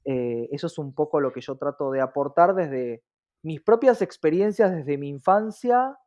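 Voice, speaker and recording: A man with an Argentine accent and a fairly high-set voice answers as an interview guest. He speaks in an earnest, reflective way and often adds little tag questions. The sound is a bit dull and lo-fi. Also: normal speed, a little mellow. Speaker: interview guest, diversity activist.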